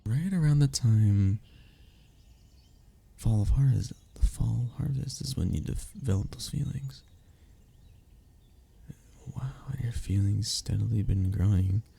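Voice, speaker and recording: A man playing a husky, low-voiced young romantic boyfriend. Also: slow pace, pauses often, mellow, exaggerated voice. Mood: teasing, curious. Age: young adult. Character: young man, childhood friend turned tender, self-deprecating romantic lover. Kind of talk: intimate romantic ASMR audio roleplay.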